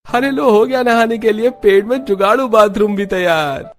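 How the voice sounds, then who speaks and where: A man's voice: sits high, moderate pace, close to an everyday voice. A man voicing a helpful husband from a poor family in a Hindi cartoon story. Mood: pleased.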